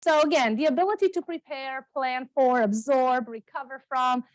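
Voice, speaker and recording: A woman's voice: high-pitched, moderate pace, no room sound, rough recording. Chatty Romanian-born woman lecturing, dry sound. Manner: chatty, self-correcting, with many ums and repeats. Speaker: guest speaker, geography professor. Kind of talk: public lecture. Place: meeting room, also streamed on Zoom. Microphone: standing microphone, not held.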